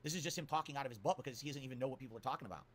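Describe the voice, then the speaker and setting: A man's voice: medium pitch, rapid-fire. A man streaming, giving indignant commentary with an incredulous, profane manner. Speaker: streamer responding to a critic. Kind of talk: ranting commentary.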